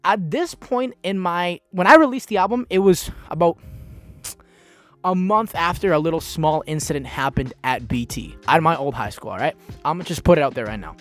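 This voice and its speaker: Young dude rambling on his podcast, swears a bunch, keeps restarting, kinda high voice, faint music behind. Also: expressive, moderate pace. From Dominican and Peruvian background. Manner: loose, rambling, swears a lot, restarts often. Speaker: podcast host. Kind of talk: casual solo podcast talk.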